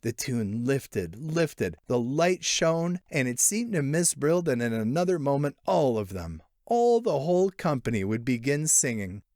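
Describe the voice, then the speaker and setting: A man narrating a literary short story in an expressive, theatrical way. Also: mid-range voice, a little slow, hardly any pauses, no room sound, studio quality. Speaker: audiobook narrator.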